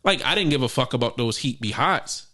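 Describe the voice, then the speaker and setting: A man co-hosting a casual sneaker podcast, talking in a profane, animated way that jumps up loud when he jokes. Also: mid-range voice, fairly quick. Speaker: podcast co-host. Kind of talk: casual chat.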